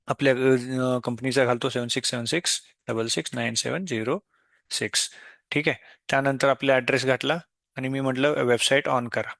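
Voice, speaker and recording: A man with a Maharashtrian Indian accent explaining software step by step in an unscripted tutorial, mixing in English tech terms. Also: medium pitch, vocal fry, dry recording, studio quality. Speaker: software demo presenter.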